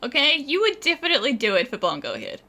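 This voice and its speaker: A woman's voice: high voice, huge pitch swings, fast, gravelly, sharp tone. A woman streamer giving casual, playful, chatty commentary as she answers game characters aloud. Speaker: gaming streamer. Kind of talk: casual reactive gameplay commentary.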